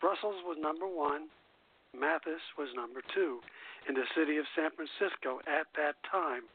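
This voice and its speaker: A man hosting a radio show, reading a biography script in a halting way with stumbles and repeated words. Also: fairly high pitch, a little hiss or hum. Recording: narrow tinny phone-line internet radio sound.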